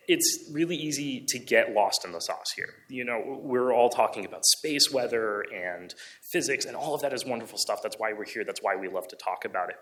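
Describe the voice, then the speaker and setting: Bright-voiced man giving an earnest talk. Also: mid-range voice. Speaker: conference speaker, amateur radio hobbyist. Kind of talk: public presentation.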